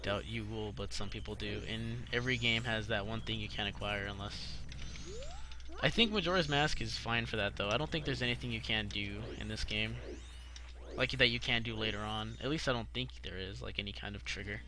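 A man's voice: on the low side, fairly quick, noisy background. A young man giving casual, foul-mouthed, slangy live commentary while streaming a video game. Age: young adult.

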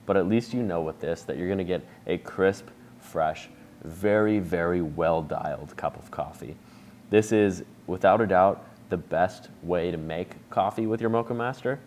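A man in his thirties walks through a coffee brewing recipe in a relaxed, chatty how-to style, explaining each step as he goes. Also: sits low, moderate pace, a little hiss or hum. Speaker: coffee shop owner demonstrating a brew recipe. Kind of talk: casual how-to demonstration. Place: bright indoor room with a table.

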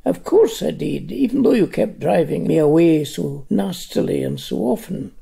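An elderly man acts out an exasperated but fond Scottish woman from a novel. The delivery is scolding and teasing, with a creaky, husky voice that swoops in pitch. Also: very animated, moderate pace, dark tone, exaggerated voice.

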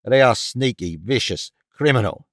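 A man voicing a gruff, domineering, bigoted father in an audiobook, curt and commanding. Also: mid-range voice, animated, normal speed, fairly bright tone, no echo, studio quality, lightly acted. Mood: angry, scornful. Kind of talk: audiobook character dialogue.

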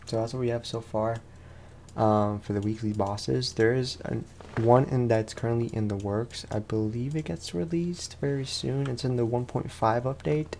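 A man's voice: mid-range voice, fairly even intonation, normal speed, vocal fry, dark tone, a little hiss or hum. A young man, sounding tired, gives casual let's play commentary as a gaming host, rambling along in slangy, informal speech.

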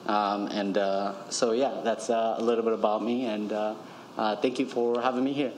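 A man's voice: fairly low pitch, flat delivery, normal speed, a little mellow, a little hiss or hum. A young man from Ethiopia introducing himself at a council podium, polite and hesitant with many fillers. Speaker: city GIS analyst and projects manager. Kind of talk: self-introduction at council meeting. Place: large council chamber at podium. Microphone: podium microphone.

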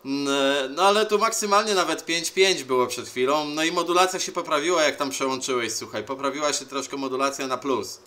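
A man chatting casually as an amateur radio operator, with a halting delivery. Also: medium pitch, pushed and bright, a little hiss or hum. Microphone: handheld camera beside the radio set.

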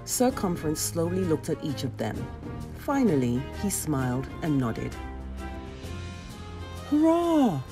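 Lady reading a kids story, lowish voice, really animated, music behind. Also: normal speed. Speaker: storyteller reading a children's book. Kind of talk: expressive read-aloud narration.

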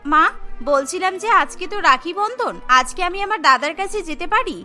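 A meek young daughter-in-law in a cartoon, voiced by a woman. Her voice is extremely high and bright, and she talks in an earnest, pleading way. Soft music sits behind it. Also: expressive, a little fast, full character voice. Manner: pleading, sincere.

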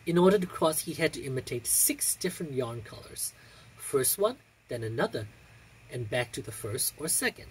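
An androgynous young adult reads poetry aloud in a very husky, breathy voice. The delivery is theatrical and declamatory, with lively swings in pitch and deliberate pauses. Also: poor audio. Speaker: poetry reader. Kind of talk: dramatic poetry recitation.

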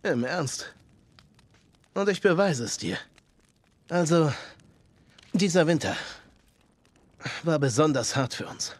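An actor playing a calm middle-aged survivor leader in a German game dub, softly persuasive and measured, soothing yet menacing. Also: male voice, on the high side, dry recording. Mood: earnest, persuasive.

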